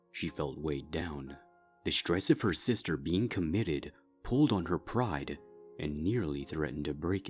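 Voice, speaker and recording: A man reading a dark fanfiction story aloud in a slow, grave, steady narrating style. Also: rumbling low. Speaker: fanfiction reader.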